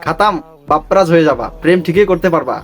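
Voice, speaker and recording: A man's voice: a little high, expressive, quick pace, soft background music. A man with a Bangladeshi accent delivering teasing, scripted comic commentary on memes as a channel host. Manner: mocking, teasing. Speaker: host of a meme review channel.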